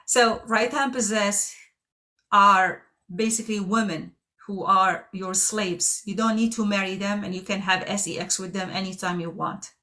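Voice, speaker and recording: A woman's voice: mid-range voice, fairly even intonation, unhurried, sharp tone. Persistent, pointed woman debater. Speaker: debate participant. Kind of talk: heated debate.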